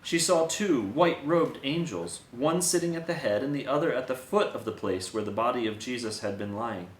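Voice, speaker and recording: A man's voice: mid-range voice, normal speed, a little hiss or hum. A man giving a dramatic, scripted reading of a Bible story as a storyteller. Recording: echoey room.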